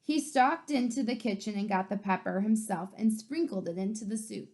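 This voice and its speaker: A woman co-reading a children's story, narrating in an expressive, measured way. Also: medium pitch. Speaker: co-reader of the story.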